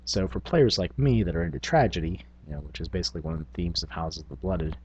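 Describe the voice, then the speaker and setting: A man's voice: sits low, lively intonation, fast talker, darkish tone, slightly rough, muffled recording. A man hosting a tabletop gaming vlog, talking casually in a rambling, self-effacing, unscripted way. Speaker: tabletop gaming video host.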